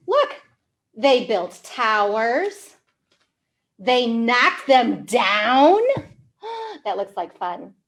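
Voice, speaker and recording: A woman hosting a storytime for young children, reading aloud in an animated way with simple, exaggerated, encouraging questions. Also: fairly high pitch. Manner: slow, simple wording for English learners. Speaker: children's storytime librarian host.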